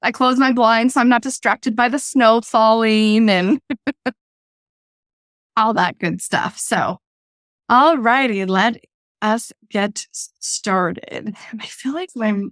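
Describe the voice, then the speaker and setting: A woman with a fairly high voice hosts a relaxed podcast chat, talking easily while her voice is starting to go. She sounds close and dry on her own desk microphone at home. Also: slow, no room sound. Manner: laid-back, chatty. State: losing her voice. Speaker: podcast host. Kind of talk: casual chat. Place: home room with blinds closed.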